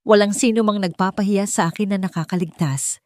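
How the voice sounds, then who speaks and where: A woman's voice: medium pitch, brisk, a little mellow, no room sound, natural voice. A haughty, indignant rich villainess with a Filipino accent, put on by a woman narrator. She speaks imperiously and defiantly, vengeful in the manner of an audio melodrama. The recording is dry. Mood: vengeful, threatening.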